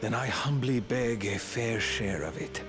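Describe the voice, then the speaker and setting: A man playing a scheming, flattering retainer in a video game, ambitious and ruthless, with an oily, grandiose delivery. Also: mid-range voice, measured pace, lightly acted. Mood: quietly sinister. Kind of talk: video game cutscene acting. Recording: game music under the voice.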